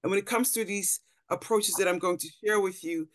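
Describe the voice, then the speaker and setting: A woman's voice: mid-range voice, a little fast, no echo. A middle-aged woman from the Netherlands, an anthropologist giving a thoughtful talk with slides over a video call, often repeating words as she looks for phrasing. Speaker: panel speaker, anthropologist. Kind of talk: lecture-style talk with slides. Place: indoor room on a video call.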